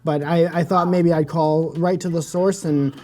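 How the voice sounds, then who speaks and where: A man's voice: fairly high pitch, steady tone, moderate pace, warm tone. A man improvising a casual phone chat as a prank caller, rambling, apologetic and self-deprecating. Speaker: prank caller on a comedy show. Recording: music playing behind.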